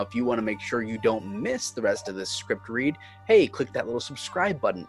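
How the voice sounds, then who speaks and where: A man working as narrator and host of a fan script reading. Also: mid-range voice. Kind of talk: reading screenplay directions, host outro. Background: music.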